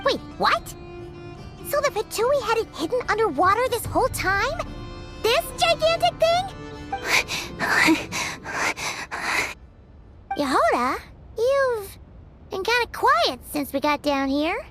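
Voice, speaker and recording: Casting: tiny chirpy game fairy, extremely high childlike voice, very animated, over prominent music. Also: female voice, slow pace, long pauses, heavily acted. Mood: curious, surprised. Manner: squeaky, exclaiming, childlike. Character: tiny childlike fairy companion, chirpy, loyal and easily startled. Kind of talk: anime-style game voice acting.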